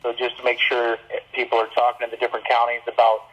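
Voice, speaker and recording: A man with a medium-pitched, very even voice makes informal remarks as a board member. The audio is dull and lo-fi. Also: fast.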